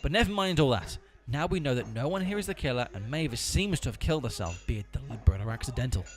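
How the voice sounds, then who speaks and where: A man's voice: mid-range voice, expressive, fast, faint music behind, natural voice. A man playing a righteous, exasperated investigator in an amateur comedy audio drama, scolding and sarcastic. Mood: brisk, dry.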